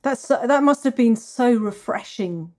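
A woman's voice: a little high, expressive, a little slow, darkish tone. A woman interviewing in a casual, conversational way, encouraging and responsive to her guest.

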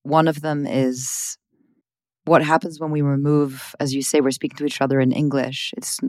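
A woman's voice: low-pitched, level tone, normal speed, darkish tone, dry recording, clean studio sound. A woman hosting an interview, speaking in earnest, searching, long winding sentences. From Sweden or Switzerland. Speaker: host, interviewer. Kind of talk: reflective interview talk.

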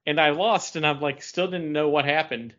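A man's voice: fairly high pitch, fairly even intonation, moderate pace, fairly bright tone, highs cut off. A man co-hosting a casual podcast about games, telling stories in a playful way. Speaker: podcast co-host.

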